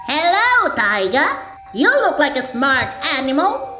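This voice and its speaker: A woman's voice: high voice, sing-song, measured pace, pushed and bright, exaggerated voice. A woman voicing a tiny, clever, tricky mouse deer with a coaxing, crafty manner in an audio storybook. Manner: coaxing and crafty, bouncy lilt. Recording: roomy echo with music bed.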